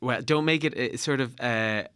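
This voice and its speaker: A man's voice: medium pitch, moderate pace, fairly bright tone, clear, resonant tone, no echo. A man co-hosting a podcast in casual discussion, hesitantly pushing back with dry humour. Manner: hesitant, mildly contrary, dryly joking. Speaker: podcast co-host and producer.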